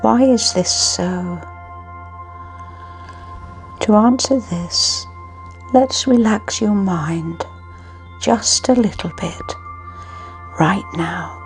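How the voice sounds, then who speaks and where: A woman narrating a scripted guided sleep meditation in a soothing, hushed manner with long pauses. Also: mid-range voice. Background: music.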